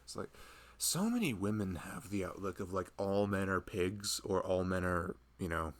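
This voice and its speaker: A young man playing a loving, earnest husband chatting casually and intimately with his pregnant wife in an ASMR roleplay, rambling and halting as he goes. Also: low voice, animated. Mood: musing.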